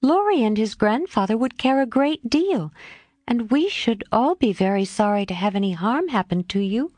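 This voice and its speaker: A woman's voice: fairly high pitch, lively intonation, moderate pace, darkish tone, dry recording, voice pushed up, close to an everyday voice. A woman voicing a shy, earnest young governess in a storybook reading. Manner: bashful, earnest.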